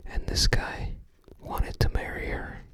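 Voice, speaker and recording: ASMR creator, whispers throughout, takes it very slowly, casual and musing. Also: fairly bright tone. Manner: unhurried, musing, tentative recollection. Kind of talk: whispered ASMR, casual game guide commentary.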